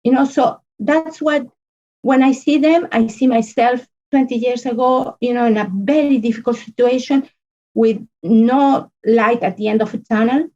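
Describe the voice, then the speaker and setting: A woman, an earnest podcast guest talking in halting phrases full of restarts and fillers. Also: fairly high pitch, warm tone, lo-fi sound. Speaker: podcast guest, business consultant. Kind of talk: casual interview conversation.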